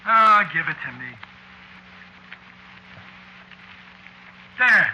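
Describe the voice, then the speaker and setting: An easygoing, slangy sound-effects man in a vintage radio play. His high, very forceful voice has an exasperated, offhand way, with faint hiss from the old recording. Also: expressive, moderate pace, lo-fi sound, full character voice. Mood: mildly exasperated. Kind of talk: 1940s radio drama acting.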